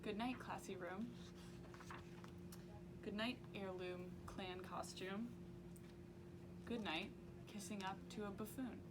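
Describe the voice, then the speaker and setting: A woman's voice: mid-range voice, slow pace, long pauses. A woman reading a parody picture book aloud in a bedtime read-along style. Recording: clearly noisy room ambience.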